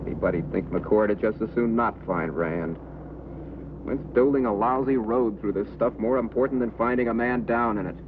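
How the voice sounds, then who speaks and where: A man's voice: on the low side, normal speed, lightly acted. An actor playing a blunt, impatient aerial search crewman who grumbles, in a mid-century film drama. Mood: irritated. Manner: blunt, griping. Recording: tinny old soundtrack, loud engine noise.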